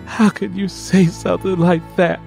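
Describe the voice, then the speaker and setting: A man's voice: high-pitched, level tone, moderate pace, vocal fry, a little mellow, faint music behind, pitched above the performer's own voice. A man voicing a distraught, fiercely protective mother in an audiobook drama, emotional and insistent. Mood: upset, angry.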